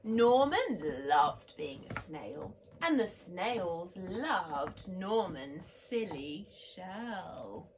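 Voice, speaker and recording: A woman teacher reading a picture book aloud to children, animated and expressive with soft whispered asides. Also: on the low side, extremely slow, hardly any pauses, a little hiss or hum. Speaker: teacher reading a bedtime story.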